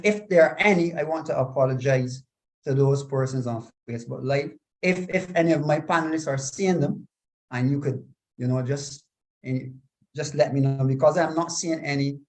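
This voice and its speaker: A man with a slightly high voice, hosting a live online panel over a video call. He is courteous and a little apologetic, reading out viewer comments and greeting guests. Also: darkish tone, poor audio. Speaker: panel moderator.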